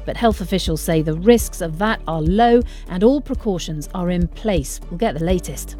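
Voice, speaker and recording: A woman presenting radio news and interviewing guests in a measured broadcaster's delivery. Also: on the low side, animated, warm tone, soft background music.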